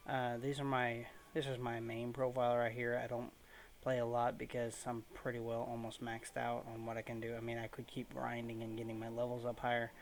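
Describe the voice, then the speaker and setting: A man hosts a casual let's play from a room at home. He speaks in a low-key, restrained way with little pitch movement, at a middling pitch, over faint background noise. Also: completely flat delivery, brisk, few pauses. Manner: low-key, keeping his volume down. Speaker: gaming video host.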